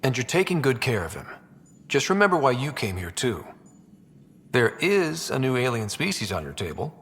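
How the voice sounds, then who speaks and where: An actor playing a wry, steady young expedition leader in a video game, with a husky voice. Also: male voice, medium pitch, normal speed, exaggerated voice. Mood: steady, supportive. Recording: game dialogue over faint music.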